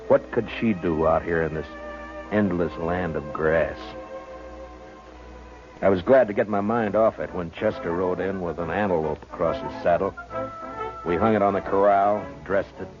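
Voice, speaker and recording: A gruff, world-weary lawman played by a male actor with a very low voice and few words to spare. The delivery is classic 1950s radio western drama. The old recording sounds dull and narrow. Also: natural voice. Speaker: lead actor and first-person narrator.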